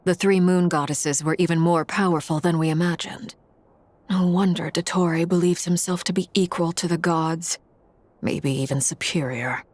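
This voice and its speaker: Anime game heroine, female actor: poised, formal, on the low side. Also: steady tone, normal speed, darkish tone, dry recording, lightly acted. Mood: composed, concerned.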